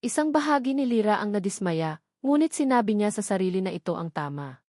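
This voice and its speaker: A woman narrator with a Filipino accent tells a romantic drama from a script. Her voice sits at a medium pitch in a very dry recording. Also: big pitch swings, brisk, darkish tone, no echo. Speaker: story narrator.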